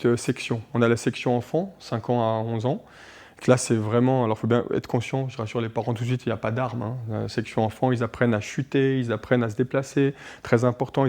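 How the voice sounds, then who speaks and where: A man in his forties from Switzerland, a martial arts instructor talking in a local TV interview, animated and restarting often with many fillers. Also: medium pitch. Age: 40s.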